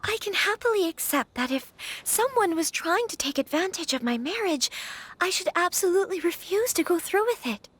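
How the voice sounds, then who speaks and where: An actress playing an earnest young noblewoman in a video game, soft and thoughtful but firm when needed. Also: very high voice, animated, normal speed. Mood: pensive. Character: young noblewoman, earnest and composed clan heir.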